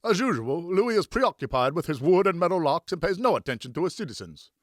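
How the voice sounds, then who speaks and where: Guy doing a pompous little fat gentleman in an audiobook, fretting about money, raised pitch. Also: sing-song, fairly quick, no echo, clean studio sound. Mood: worried. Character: short, stout, worried gentleman investor. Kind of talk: audiobook character dialogue.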